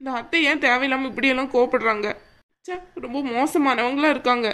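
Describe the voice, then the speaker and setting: A woman's voice: high-pitched, bright, forceful tone. A woman playing a young aunt who talks back to her mother-in-law. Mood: arguing. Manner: argumentative, quick. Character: quick-talking young aunt, teasing.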